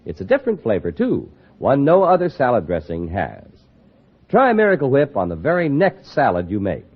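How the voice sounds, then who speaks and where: A man's voice: mid-range voice, huge pitch swings, normal speed, husky. An American man working as a radio announcer, reading scripted copy in a 1950s broadcast style. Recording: tinny old radio broadcast.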